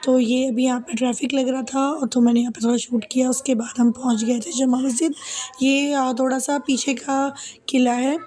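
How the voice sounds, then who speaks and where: A young woman narrating her shopping vlog in a chatty, casual way, her voice a little hoarse from a sore throat. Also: high-pitched, flat delivery, normal speed, darkish tone. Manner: chatty, many fillers and "ठीक है" asides. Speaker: vlogger.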